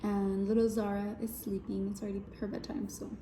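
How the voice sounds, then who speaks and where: A woman's voice: medium pitch, little pitch movement, fairly quick, warm tone, reverberant, a little hiss or hum. A woman, casually chatting and teasing her partner over food. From El Paso, Texas. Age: 20s. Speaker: co-host of a couples channel. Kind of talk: casual chat while eating.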